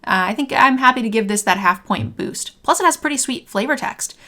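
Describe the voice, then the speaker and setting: A young woman hosting a card game review, a bit hoarse as her voice is failing, talking in an upbeat conversational way. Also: fairly high pitch, expressive, brisk, pushed and bright. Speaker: host. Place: home streaming room, indoors.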